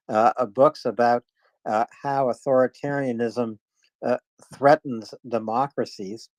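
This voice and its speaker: A man hosting a live online discussion, speaking casually in a halting, hesitant way. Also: medium pitch, a little mellow, no echo. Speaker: host of a live audio discussion space. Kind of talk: casual announcing, chatting with guests. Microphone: live online audio chat.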